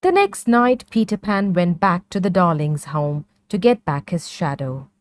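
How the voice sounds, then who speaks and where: A woman narrating a scripted children's story in a measured, clearly phrased read-along manner. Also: on the low side, lively intonation, dry recording, studio quality.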